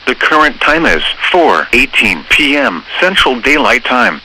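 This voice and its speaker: A synthetic male voice reading scripted emergency weather bulletins in a formal, measured, impersonal way over weather radio. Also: medium pitch, big pitch swings, sharp tone. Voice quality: synthetic text-to-speech voice. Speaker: automated weather radio announcer. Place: automated broadcast received over radio. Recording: noisy weather radio broadcast.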